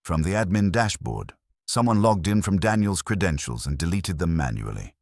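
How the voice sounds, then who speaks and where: A man's voice: sits low, sing-song, moderate pace, a little mellow, no room sound, clean studio sound, voice pushed up, full character voice. A man voicing a wary, matter-of-fact office tech worker in a detective audiobook, clipped and technical.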